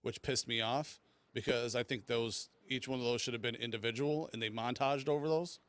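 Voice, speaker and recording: A man's voice: mid-range voice, fairly even intonation, normal speed, fairly bright tone. A man hosting a podcast in a casual, rambling and informal way as he debates movies. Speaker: podcast host.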